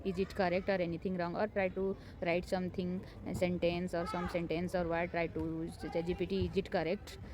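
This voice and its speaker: A woman's voice: on the low side, little pitch movement, fairly quick, hardly any pauses, background noise. A woman whose first language is not English gives unscripted learning tips as a homemaker, hesitant and encouraging, with many fillers and restarts. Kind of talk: unscripted motivational talk to camera. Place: home.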